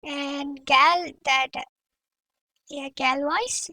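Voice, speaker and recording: A man voicing a girlish gal through a real-time pitch-raising voice changer. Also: fairly even intonation, very slow, sharp tone, no echo, studio quality, recorded from a distance, heavily acted. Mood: demonstrating.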